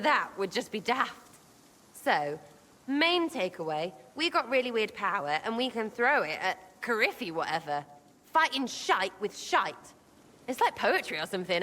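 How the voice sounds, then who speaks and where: A woman's voice: mid-range voice, pushed and bright, in a large hall. An actress voicing a brash, irreverent young elf woman in a video game, with a blunt, slangy English accent. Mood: cheeky. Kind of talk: video game character acting.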